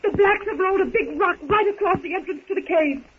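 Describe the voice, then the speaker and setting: A woman's voice: very high voice, a little fast. An actress playing an anxious, genteel young woman in 1930s radio melodrama, fretful and theatrical, with hesitant repetitions. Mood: distraught. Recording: noisy, tinny 1930s radio recording.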